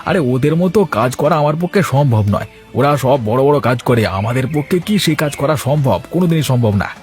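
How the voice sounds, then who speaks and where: A man's voice: medium pitch, lively intonation, quick pace, faint music behind, natural voice. A man voicing a poor, lazy village husband in a cartoon, whining and protesting like a boastful idler. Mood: boastful, resigned. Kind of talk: Bengali cartoon dialogue dubbing.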